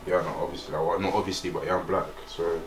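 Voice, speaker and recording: A man's voice: deep voice, flat delivery, rapid-fire. A young man, chatting casually as a dating show contestant. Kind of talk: casual chat. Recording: echoey, distant, loud chatter behind.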